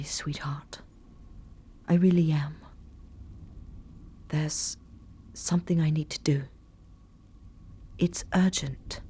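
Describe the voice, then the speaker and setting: A woman playing a guarded, caring doctor in an audio drama, breathy and hushed, gentle but firm. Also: on the low side, a little hiss or hum. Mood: apologetic, tender. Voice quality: breathy, husky.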